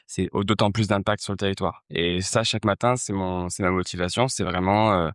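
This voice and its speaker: Low-voiced man with a smooth tone. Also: fairly even intonation, a little fast, a bit bright, dry recording, clean studio sound. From Marseille, France. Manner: hesitant, many fillers and restarts. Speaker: festival co-founder, guest. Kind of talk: casual interview talk.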